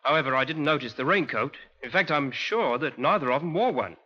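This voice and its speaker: An actor playing a confident, respectful British police constable in a 1950s radio drama, speaking emphatically. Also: male voice, a little high, lively intonation, fairly quick. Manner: emphatic, respectful, sure of himself. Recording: dull old radio recording.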